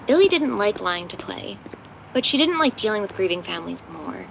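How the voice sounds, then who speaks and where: A woman narrates a scripted drama in third person. Her delivery is very varied in pitch with a gravelly creak, over faint noise. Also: very animated, brisk, poor audio.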